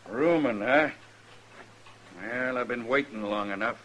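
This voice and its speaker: An actor playing a suspicious, accusing cowboy prisoner in an old-time radio western. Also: male voice, medium pitch, a little slow, fairly bright tone, slight background noise, natural voice.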